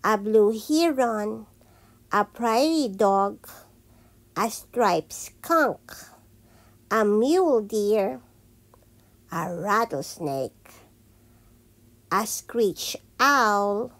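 A woman reading a children's picture book aloud in a singsong, deliberate way, like a teacher with young listeners. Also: medium pitch, animated. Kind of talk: children's read-aloud storytelling.

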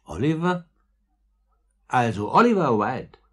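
An actor playing a kindly old gentleman in a 1950s German radio play, speaking in a gentle, fatherly, soothing way. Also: mid-range voice, sing-song, slow, heavily acted. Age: older. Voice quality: husky, breathy.

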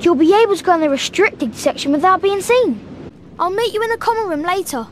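A performer playing an eager, excitable young schoolboy wizard in a video game. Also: very high-pitched, a little fast, slight background noise. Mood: excited, eager. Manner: eager, wide-eyed.

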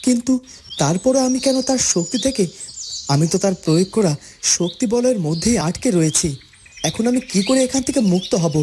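Man voicing young male hero, earnest, pleading, melodramatic cartoon, birds chirping, noisy background. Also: high-pitched. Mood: bewildered. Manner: pleading, breathless repetition, melodramatic. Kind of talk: melodramatic cartoon fairytale voice acting. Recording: noisy track with birdsong and insects.

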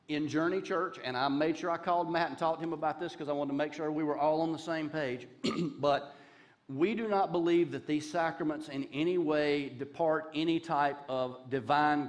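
A Southern man in his fifties preaches from a big dark church stage in a relaxed, folksy way, tossing in jokes and asides. His voice sits a touch high for a man, and a headset mic keeps it close. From Charlotte, North Carolina. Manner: folksy, joking asides, gestures while pacing the stage. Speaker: guest pastor. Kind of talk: conversational sermon.

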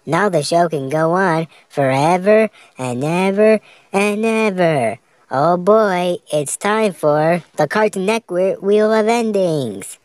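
A woman voicing an excitable cartoon boy cat who hosts a show with theatrical, exclamatory announcing, as fan-made voice lines. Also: lowish voice, takes it slowly, dry recording. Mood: gleeful. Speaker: character voice performer.

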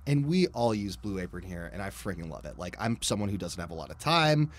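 A man's voice: deep voice, sing-song, a little fast. A man hosting a podcast, reading a sponsor spot in a casual, conversational way.

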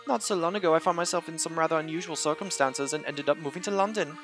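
A man's voice: a little high, fast talker, over music, lightly acted. A man reading the lines of a prim older woman in a puzzle game, in a proper, slightly haughty tone. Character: prim older woman, newly moved to the city.

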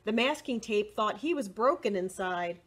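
A middle-aged woman reading a picture book aloud to young children, expressive and deliberate, with short asides to the listeners. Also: mid-range voice. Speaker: children's library storyteller. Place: indoors, small room with bookshelves.

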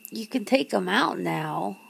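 Girlfriend role for intimate ASMR roleplay, female actor: nervous, disbelieving, teasing, halting delivery, unhurried, with vocal fry. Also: medium pitch, heavily acted, rough recording. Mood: incredulous, teasing. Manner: halting, breathy sighs and chuckles, often dropping to a whisper. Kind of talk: ASMR roleplay, one side of an intimate conversation.

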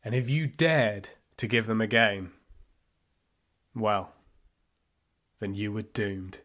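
An actor playing a fervent, bitter football supporter recalling the Nazi era in an audio play monologue, with deliberate pauses. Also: male voice, on the low side, fairly bright tone. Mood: grave, bitter. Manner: impassioned storytelling with deliberate pauses. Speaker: narrator. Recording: music behind the voice.

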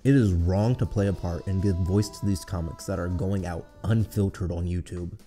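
Male video essayist, deep-set and very mellow, earnest scripted explainer delivery. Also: steady tone, moderate pace. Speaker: co-host of a channel. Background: background music.

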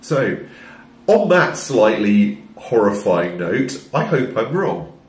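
A man's voice: on the low side, big pitch swings, reverberant. Wry opinionated man with a cold. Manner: measured, wry, opinionated, trailing fillers. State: has a cold. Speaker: commentator. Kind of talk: opinion vlog to camera. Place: small room indoors. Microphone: wired-in microphone facing camera.

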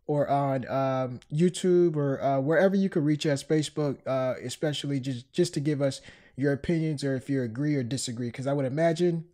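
A man's voice: mid-range voice, fairly quick, mellow. A man hosting a podcast in casual chat, a bit stuffed up from a cold. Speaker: podcast host.

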